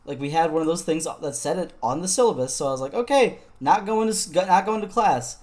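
A young man co-hosting a film podcast, telling stories in a relaxed way, laughing often and restarting sentences. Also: a little high, quick pace. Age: young adult. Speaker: podcast co-host. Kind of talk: casual chat.

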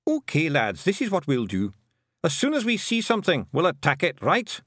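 Brogue-heavy tiny fairy chieftain, put on by a male narrator: gruff, warlike, slow and foreboding, pitched up above his own voice. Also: wildly swooping pitch, a bit bright, dry recording, studio quality, full character voice. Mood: rousing. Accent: Scottish. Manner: thick brogue, slow and ominous.

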